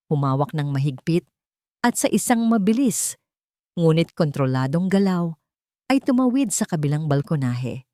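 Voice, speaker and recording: A woman with a Filipino accent reading a scripted romantic drama story with dramatic, deliberate phrasing. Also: sits low, warm tone, no room sound, studio quality.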